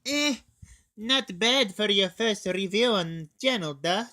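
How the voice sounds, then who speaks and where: A man's voice: sits high, expressive, slow, pushed and bright, voice pushed up, heavily acted. A man voicing a brash, teasing soldier in a scripted comic video review. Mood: smug teasing. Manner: needling, punctuates talk with "comrade" and "duh".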